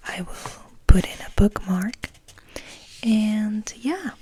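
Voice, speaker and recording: Female ASMR host. Hushed, soft whisper with slow delivery and gentle pauses, some noise behind the voice. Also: mid-range voice, animated. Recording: noticeable background noise.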